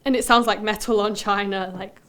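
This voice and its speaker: A woman playing a haunted, introspective character who recounts a dream in deliberate, broken phrases, as a poetic dramatic monologue. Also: mid-range voice, steady tone, fairly bright tone, clean tone, natural voice. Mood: uneasy, intense.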